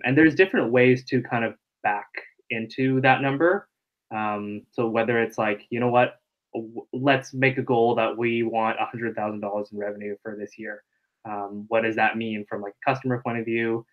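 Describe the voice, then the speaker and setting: Man explaining casually over dull call audio. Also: on the low side. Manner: loose, filler-heavy explaining. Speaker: webinar presenter on marketing. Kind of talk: casual live webinar teaching.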